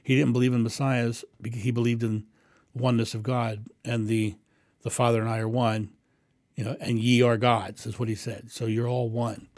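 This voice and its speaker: A man with a booming voice hosting a live stream, answering viewers' questions in a rambling, animated way and acting out thoughts. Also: normal speed, no room sound, clean studio sound. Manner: animated, acts out inner thoughts with raised voice; rambling with frequent ums and restarts. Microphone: condenser mic through a mixing board.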